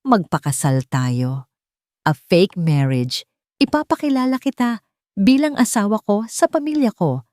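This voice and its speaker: A woman voicing a wealthy, determined young man who stays steady and unshaken, in a storybook drama. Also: high voice, a little slow, dry recording, clean studio sound, voice pushed up.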